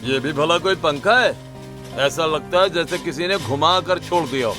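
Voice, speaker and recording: A man's voice: fairly high pitch, moderate pace, over music, natural voice. A man voicing a fat, gluttonous rich son-in-law in a cartoon story, haughty and complaining. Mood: grumbling. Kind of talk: Hindi cartoon story dialogue.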